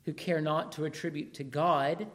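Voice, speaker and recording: A man preaching a sermon through a scripture passage, losing his voice, with a measured manner and rhetorical questions. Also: on the high side, fairly even intonation.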